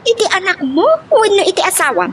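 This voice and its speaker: Actress as emotional Ilocano mother, animated, noisy recording. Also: extremely high pitch, quick pace. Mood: pleading, agitated. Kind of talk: radio-style Ilocano family love drama acting. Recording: clear background noise.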